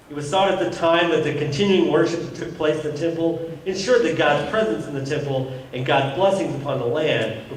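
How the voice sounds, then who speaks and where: Casting: male church reader, measured formal delivery of prepared text, recorded from afar with faint noise in an echoing sanctuary. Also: a little high. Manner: measured, formal, occasionally stumbling reading. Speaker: church reader introducing a monologue. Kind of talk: scripted introduction read aloud. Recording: very echoey church, distant mic, some noise.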